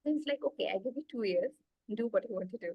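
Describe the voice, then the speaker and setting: A woman with an Indian accent tells her story eagerly on a video call, her voice sitting a bit high. She talks with many fillers and asides. The sound is dry and close. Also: expressive, quick pace, husky, no room sound, dull audio. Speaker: guest, executive coach. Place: small room indoors.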